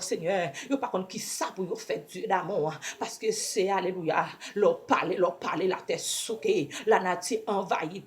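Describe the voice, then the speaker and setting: A woman's voice: mid-range voice, darkish tone. A woman with a Haitian accent, a native Creole speaker, leading devotional prayer with repeated invocations and pleading. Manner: repetitive pleading with frequent invocations. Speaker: prayer leader. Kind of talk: scripture reading and fervent spoken prayer.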